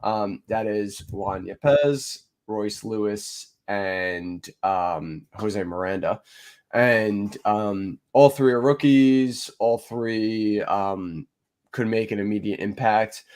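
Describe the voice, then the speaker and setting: A man's voice: lowish voice, takes it slowly, darkish tone. A man with a stuffy, nasal voice from a cold, hosting a casual podcast chat and rambling with lots of fillers. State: congested from a cold. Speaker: podcast host.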